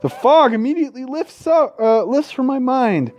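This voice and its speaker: A man's voice: very high-pitched, expressive, moderate pace, darkish tone, dull audio. A man reading a visual novel aloud as a gaming host, tripping over words, correcting himself and dropping casual asides and swearing. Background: faint game music.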